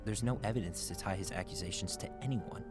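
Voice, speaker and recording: A man's voice: low voice, quick pace, close to an everyday voice. An actor playing a cool, blunt, skeptical man in a game cutscene, dry and matter-of-fact. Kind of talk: video game cutscene acting. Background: game score music.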